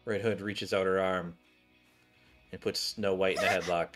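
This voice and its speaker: A man's voice: low voice, little pitch movement, moderate pace, a bit bright. A man streaming game cutscenes, casually reacting and reading stage directions aloud. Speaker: gameplay streamer. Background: game music.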